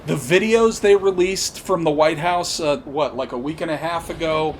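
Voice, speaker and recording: Higher-voiced man ranting over noticeable background noise. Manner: emphatic, halting, repeats phrases for stress; blunt, profane. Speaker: podcast host. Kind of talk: unscripted political commentary rant.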